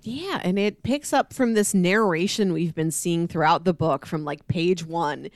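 A woman's voice: lowish voice, unhurried, dry recording, studio quality. A woman in casual podcast discussion, conversational, slipping into acted-out quotes as she explains. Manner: conversational, acts out quoted lines.

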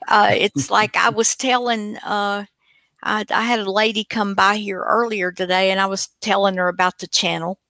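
A woman's voice: medium pitch, flat delivery, normal speed, sharp tone, dry recording. A woman co-hosting a show with casual, rambling, folksy and teasing unscripted chat. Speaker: co-host of an old-time radio online show.

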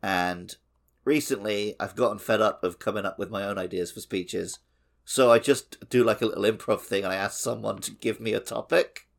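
A man's voice: fairly low pitch, steady tone, moderate pace, vocal fry. A man chatting casually and jokily as a podcast co-host, rambling along. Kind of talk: casual chat.

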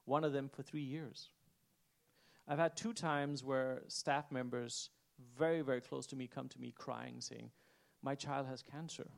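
A man speaking as a head chef on a panel on stage, earnest and halting, searching for his words. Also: medium pitch, fairly even intonation, creaky. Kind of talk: reflective panel talk. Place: event stage before an audience.

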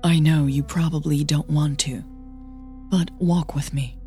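A woman voicing an earnest, cautioning female coach in an audio drama, measured and persuasive. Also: low voice, fairly even intonation, warm tone, soft background music. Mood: coaxing.

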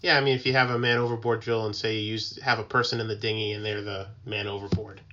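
A man talking casually as a guest, explaining things informally and haltingly as he thinks aloud. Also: fairly low pitch, little pitch movement, pushed and bright, muffled recording. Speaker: tugboat mariner, podcast guest.

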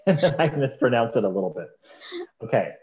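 A man hosting a podcast interview, relaxed and self-deprecating, laughing often. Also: mid-range voice, brisk, roomy sound. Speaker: podcast host. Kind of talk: casual interview chat.

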